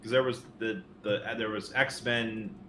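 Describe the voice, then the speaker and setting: A man's voice: on the low side, fairly even intonation, a little slow. A man co-hosting a podcast, chatting casually in an informal, rambling way. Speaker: podcast co-host. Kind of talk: casual chat about games and movies. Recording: roomy sound, faint noise.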